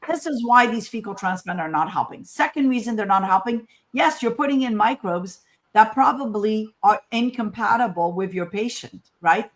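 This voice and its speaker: A woman's voice: medium pitch, animated, moderate pace. A woman from Morocco speaking as a scientist in an informal talk, emphatic and conversational, often asking rhetorical questions. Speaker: scientist giving a conference talk.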